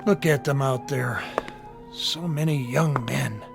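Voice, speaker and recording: An actor playing a frank, world-weary army medical sergeant in a war radio drama. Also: male voice, medium pitch, slow, gravelly, loud music behind the voice. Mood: weary, troubled. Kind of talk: audio drama acting.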